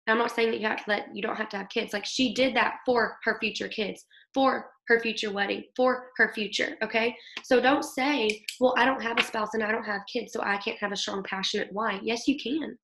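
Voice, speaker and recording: A young woman with a hoarse voice from illness, leading her team in an upbeat motivational talk over a video call, often checking in with "okay?". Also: on the high side, fairly even intonation, a little fast, hardly any pauses, a bit bright. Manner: upbeat, coaching, keeps asking "okay?". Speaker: team leader. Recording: echoey room.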